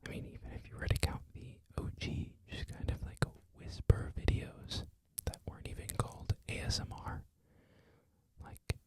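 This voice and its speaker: An ASMR creator whispering a loose, rambling talk, full of "like" and "um" fillers. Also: moderate pace. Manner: soft whisper throughout, frequent "like" and "um" fillers.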